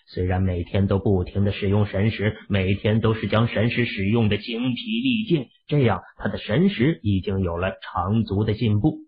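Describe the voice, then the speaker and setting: Husky low-voiced male storyteller. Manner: emotive storytelling. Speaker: audiobook narrator. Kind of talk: scripted narration of a fantasy novel. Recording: muffled lo-fi audio.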